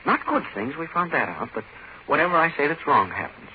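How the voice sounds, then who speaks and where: Menacing everyman, halting and insistent, drunk on deadly power, swooping lines, muffled vintage radio drama sound. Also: on the high side, sing-song. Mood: halting, self-justifying. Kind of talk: 1940s radio horror acting. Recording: muffled, noisy old 1940s radio recording.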